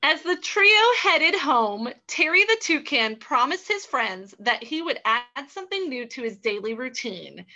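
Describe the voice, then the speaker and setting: A woman's voice: high-pitched, very animated, measured pace, hardly any pauses, pushed and bright, highs cut off. A woman hosting and narrating improvised children's stories in a theatrical, exclamatory way. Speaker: host and story narrator.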